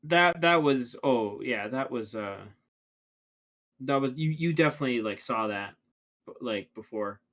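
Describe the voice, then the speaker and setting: Guy rambling on a watch-along stream, lots of like and restarts, mid pitch. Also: animated, unhurried, fairly bright tone. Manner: rambling, many restarts and "like" fillers. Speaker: watchalong co-host. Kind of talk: casual reaction chat.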